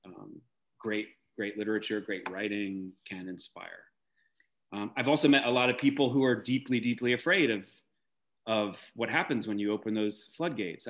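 A man in his forties answering reflectively as an interview guest on a video call, articulate and measured, pausing to search for words. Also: medium pitch, fairly bright tone. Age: 40s. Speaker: interview guest, theater director. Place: indoor room, video call.

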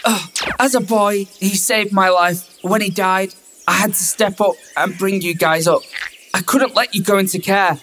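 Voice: medium pitch, fairly even intonation, normal speed, fairly bright tone, a little hiss or hum, natural voice. An actor playing a protective, sweary male guru in an audio drama, full of melodrama. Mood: pained, earnest. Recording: music behind the voice.

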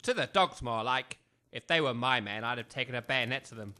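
Man playing a pompous, blustering English army major, sharp-edged. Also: mid-range voice, brisk, close to an everyday voice. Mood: indignant, scornful. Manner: pompous, blustering, barking orders. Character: pompous, bad-tempered retired British army major. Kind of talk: comic audio drama acting.

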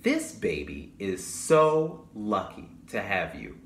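A man voicing a tender, reassuring mother in a storybook, speaking gently and deliberately. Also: on the low side, huge pitch swings, pitched below the performer's own voice, full character voice. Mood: tender reassurance. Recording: roomy echo, audible background noise.

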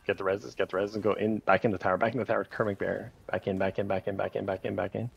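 A man leading a raid group over voice chat, calling short commands in rapid repeated bursts with countdowns. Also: fairly low pitch, level tone, gravelly, husky, no echo, dull audio.

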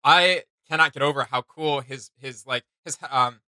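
Bright-voiced, enthusiastic man chatting casually. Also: mid-range voice, normal speed, no echo. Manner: enthusiastic, slangy. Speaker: reaction video host. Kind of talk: casual reaction chat. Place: small home studio room.

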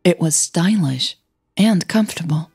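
Slow, low-voiced woman narrating fiction. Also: expressive, darkish tone, breathy edge, soft background music. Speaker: podcast host and narrator. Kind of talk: scripted audio fiction narration.

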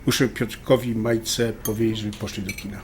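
A man's voice: on the low side, flat delivery, fairly quick, noisy background, close to an everyday voice. A man playing a stubborn but affectionate husband in a naturalistic audio drama, speaking conversationally. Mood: affectionate, relaxed. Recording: faint clinking restaurant background. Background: cutlery and dishes clinking.

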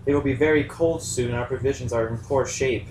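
A man's voice: medium pitch, steady tone, a little mellow, lightly acted. Man as a courteous captain, echoey and distant. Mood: courteous pleading. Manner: measured, courteous, reasoning. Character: brave, diplomatic sea captain rabbit, adult male. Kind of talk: amateur puppet story dialogue. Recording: echoey room, far mic, faint noise.

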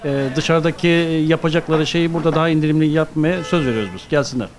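A middle-aged man giving halting, unscripted answers as a restaurant manager being interviewed. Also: on the high side. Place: restaurant dining room. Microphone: handheld microphone. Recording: clear background noise, restaurant ambience.

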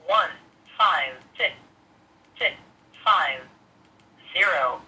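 Very slow synthetic radio prompt voice. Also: mid-range voice, animated, pushed and bright, husky. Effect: small radio speaker. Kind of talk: radio voice prompt reading digits and menu words. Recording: noisy small speaker with clicks.

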